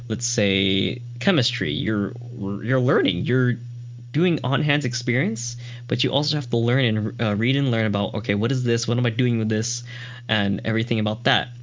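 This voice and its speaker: A gravelly, fairly low-voiced man chats casually as a podcast host, wandering through his points with restarts and likes. The sound is a bit muffled and lo-fi, with a faint hum underneath. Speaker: show host reviewing a series.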